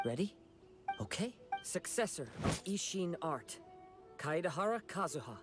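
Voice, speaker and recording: Young game samurai, courteous and composed, soft-spoken, expressive intonation, loud music behind. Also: male voice, fairly high pitch, a little slow. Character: calm, courteous young wandering male samurai. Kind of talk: video game voice acting.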